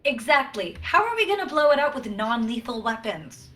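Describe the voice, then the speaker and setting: Brainy, pouty female science officer played by a woman, high voice, prim indignation, sci-fi comedy play. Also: very animated, moderate pace, bright, forceful tone. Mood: indignant.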